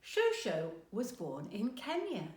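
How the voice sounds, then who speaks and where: A woman's voice: mid-range voice, huge pitch swings, slow pace, reverberant. A woman from England reading a children's story aloud expressively, as if to a small child.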